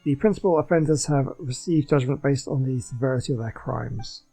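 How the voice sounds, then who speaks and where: A man's voice: mid-range voice, a little fast, warm tone, husky, soft background music. A man doing a casual video game playthrough, reading in-game text aloud.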